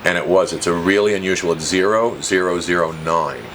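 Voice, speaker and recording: A man's voice: sits low, a little fast, a bit bright. A man in his fifties explaining things in a casual, storytelling way, like a shop dealer talking to a visitor. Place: small cluttered guitar shop, indoors. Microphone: camera mic a short distance away. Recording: noisy handheld camera recording.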